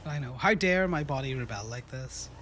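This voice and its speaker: A man's voice: medium pitch, expressive, normal speed, fairly bright tone, slight background noise. A sick, sniffly man with an Irish accent chatting casually on a livestream, wry and self-mocking. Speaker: streamer. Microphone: desk microphone.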